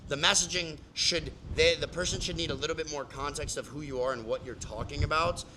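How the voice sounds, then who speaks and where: A man talks a client through his strategy in a loose, emphatic coaching style, leaning on "like" and quick restarts. His voice is very bright and sits a little high. There's a faint hiss of noise underneath. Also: steady tone. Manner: casual, filler-heavy, emphatic explaining. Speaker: business coach. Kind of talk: live coaching session.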